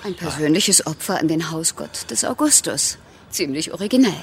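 An actress playing a flirtatious, self-assured, helpful stranger in a radio play, with a playful manner. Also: female voice, deep voice, lively intonation, moderate pace, a little hiss or hum. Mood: teasing. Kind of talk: German radio play acting.

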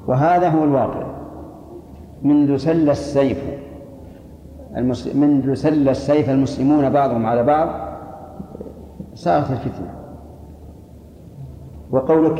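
An older man with a Saudi accent speaks as a religious teacher, explaining and answering questions. His voice comes through a dull recording in an echoing hall, with faint noise behind him. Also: mid-range voice. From Saudi Arabia. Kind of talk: spoken lesson explaining texts and answering questions.

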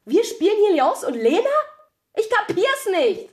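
An actress playing Veronika, a restless, blunt young actress who swears and argues in a radio drama. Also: female voice, very high voice, very animated, moderate pace. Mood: frustrated. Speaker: actress in an ensemble.

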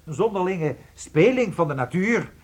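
A man's voice: high-pitched, lively intonation, normal speed, darkish tone, husky. An actor playing a loving husband in his thirties in a radio play, theatrical and cheerfully resigned. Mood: calm, placating. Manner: theatrical, placating.

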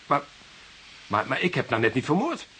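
A man's voice: mid-range voice, wildly swooping pitch, moderate pace, fairly bright tone. An actor playing a nervous, defensive murder suspect in a Dutch radio drama, hesitant and stammering as he repeats himself. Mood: flustered, alarmed. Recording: hissy radio play recording.

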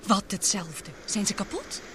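A woman's voice: mid-range voice, a little slow. Spirited young woman in a noisy radio drama. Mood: puzzled, shocked. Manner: lively, inquisitive. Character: spirited, teasing young fiancée, daughter of expedition leader. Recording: noisy old radio play recording.

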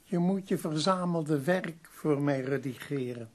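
An actor in a radio drama playing a courteous, shrewd publisher, measured and businesslike. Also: male voice, on the high side. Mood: shrewd, firm. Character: courteous, shrewd male publisher visiting sick author. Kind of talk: radio drama narration and dialogue.

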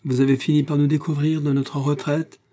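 Man voicing a vain young bride, higher voice, self-satisfied, husky. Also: flat delivery, a little fast, mellow, close to an everyday voice.

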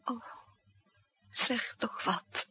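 An actress playing an anxious, protective woman in love with a spy, urgent and breathy, in a 1960s radio play. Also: very slow, dry recording. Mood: emotional. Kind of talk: 1960s Dutch radio drama acting. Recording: dull old radio drama recording.

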